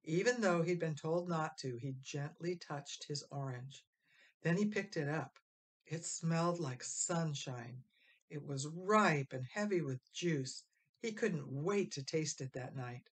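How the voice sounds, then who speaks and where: A woman reading a children's story aloud as expressive narration, dramatic, with drawn-out emphasis on key phrases. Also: low-pitched. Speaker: storybook reader.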